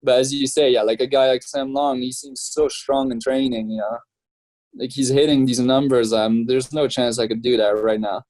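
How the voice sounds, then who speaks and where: A relaxed young man from France talks through his story in a loose, chatty way, full of fillers and easy laughter. His voice sits at an ordinary middle pitch, and the recording is close and dry with no room around it. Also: normal speed, no echo, rough recording. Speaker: professional triathlete, guest. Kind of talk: casual interview chat.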